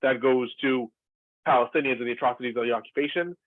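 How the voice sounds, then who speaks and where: A man's voice: medium pitch, fairly even intonation, fast talker, no room sound. A man from Israel, a former lecturer, discussing at length in a thoughtful way with many fillers and restarts over a video call. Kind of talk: earnest discussion. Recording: tinny, dry call audio.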